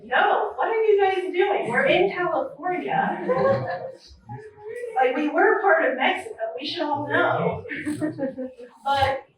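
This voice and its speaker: A woman's voice: very high-pitched, expressive, slow pace, pauses often, a crowd in the background. A woman in her twenties doing a nervous, self-deprecating stand-up set at an open mic, full of ums. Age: 20s. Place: comedy club or small venue. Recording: very echoey room from a distance.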